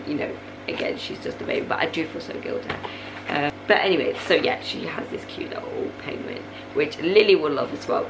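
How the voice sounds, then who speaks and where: Hoarse young woman, low voice, rambling chatty vlog talk, noisy home recording. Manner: chatty, rambling, many fillers. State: hoarse, voice going. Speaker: vlogger, mother of three. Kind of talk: casual chat to camera. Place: living room at night, small indoor room.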